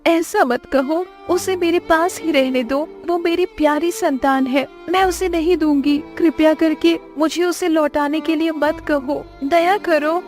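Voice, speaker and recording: A woman playing a high-pitched, protective village mother. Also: steady tone, a little slow, hardly any pauses, darkish tone, faint music behind. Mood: desperate, pleading. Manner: emphatic, pleading, scolding. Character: fisherman's poor wife, fiercely protective, tender adoptive mother. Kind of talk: Hindi cartoon story acting.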